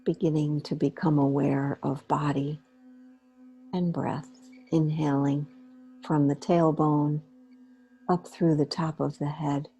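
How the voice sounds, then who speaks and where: A woman leading a guided meditation in a gentle, unhurried way, pausing between short phrases. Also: low voice, level tone, low-quality recording. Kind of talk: guided meditation, slow soothing instruction.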